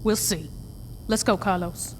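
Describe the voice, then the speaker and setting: A woman's voice: mid-range voice, a little slow, natural voice. An actress playing a tough, cold female gang leader in a video game, speaking bluntly and with threats. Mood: defiant. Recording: game audio with background noise.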